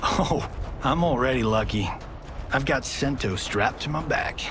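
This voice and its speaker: An actor playing a cocky, wisecracking movie star in a video game cutscene, sarcastic and teasing. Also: male voice, medium pitch, animated, unhurried, noisy background, close to an everyday voice. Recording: game audio with loud score.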